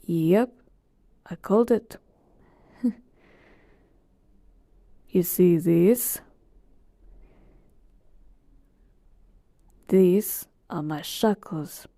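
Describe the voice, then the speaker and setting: A woman's voice: lowish voice, very slow, long pauses, mellow, dry recording, clean studio sound. A woman voicing an ancient, all-powerful genie who talks casually and teasingly to a master in a roleplay monologue. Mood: grand, teasing. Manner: casual, teasing, conversational asides. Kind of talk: in-character roleplay monologue to the listener.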